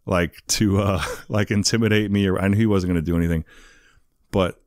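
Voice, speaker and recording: A man with a very deep voice tells his story in a loose, rambling way, padding his sentences with fillers and asides. The sound is dry and close, with no room around it. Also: fairly even intonation, brisk, darkish tone, no room sound. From England. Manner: rambling, many fillers, "you know what I mean". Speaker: guest. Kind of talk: casual storytelling interview.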